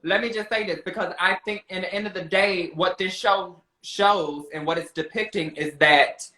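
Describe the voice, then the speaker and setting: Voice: mid-range voice, fairly even intonation, moderate pace, pushed and bright. A person in a heated casual debate as a talk guest, speaking in a sassy, insistent way and repeating points for emphasis. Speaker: guest discussing a reality show. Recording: roomy echo.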